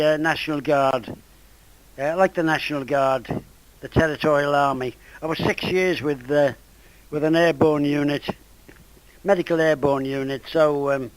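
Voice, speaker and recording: Old british guy chatting on ham radio, voice a bit on the high side. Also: dry recording, rough recording. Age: 70s or older. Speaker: amateur radio operator. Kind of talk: casual ham radio conversation.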